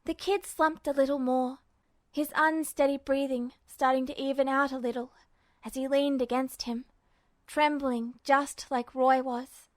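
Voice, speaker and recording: A woman reading fiction aloud as an expressive, scripted audiobook narration. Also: sits high, measured pace, no room sound. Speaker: fan fiction audiobook reader.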